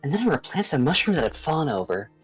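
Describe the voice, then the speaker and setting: Game role: chirpy, bored elf woman played by a female performer, theatrical, very animated, low-set voice, music underneath. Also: fast, low-quality recording. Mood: chirpy, eager. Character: cheerful, bored elf woman living a quiet grove life.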